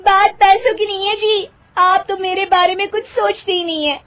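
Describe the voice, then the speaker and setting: A woman's voice: very high voice, steady tone, normal speed, fairly bright tone, no echo, voice pushed up. A woman playing a young, scheming wife who pleads and manipulates in an exaggerated, theatrical way in a moral story. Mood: sulky, whining. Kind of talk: acted Hindi moral-story dialogue.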